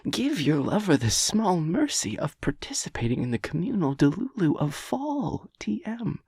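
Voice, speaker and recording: Man playing a husky, animated chaotic gremlin boyfriend. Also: high-pitched, moderate pace, dry recording, clean studio sound, full character voice. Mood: giddy, excited. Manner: theatrical, rambling, mock-grandiose wordplay. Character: chaotic gremlin boyfriend, manic, theatrical, playful Halloween enthusiast. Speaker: audio roleplay performer. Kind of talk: intimate comedic boyfriend audio roleplay.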